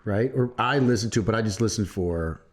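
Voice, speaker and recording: A man's voice: lowish voice, a little fast. A man co-hosting a podcast in casual, unscripted chat, with an irreverent manner and free swearing. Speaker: podcast co-host.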